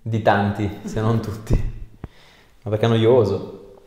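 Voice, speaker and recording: A man's voice: on the low side, flat delivery, a little slow, dark tone. A man, a chiropractor giving informal, reassuring short instructions to a patient. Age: 30s. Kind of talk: casual instructions to a patient during treatment. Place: small bare treatment room indoors. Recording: echoey room with clear background noise.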